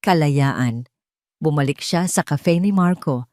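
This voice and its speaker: Low-voiced woman narrating a dramatic love story. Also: takes it slowly, darkish tone, dry recording, studio quality. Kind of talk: scripted narration of a romance audio story.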